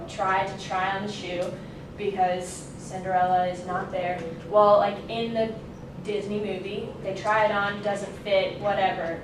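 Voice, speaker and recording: A young adult woman giving an informal student speech in a classroom, casual and loosely worded, correcting herself. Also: medium pitch. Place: indoor classroom. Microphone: distant camera microphone. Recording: very echoey, noisy room, distant mic.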